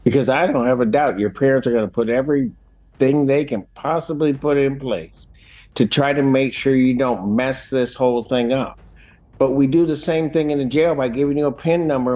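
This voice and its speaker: A man's voice: on the high side, darkish tone. A man speaking as a judge, scolding someone sternly in plain, unscripted words. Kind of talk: unscripted courtroom admonishment. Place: courtroom. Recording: muffled courtroom audio.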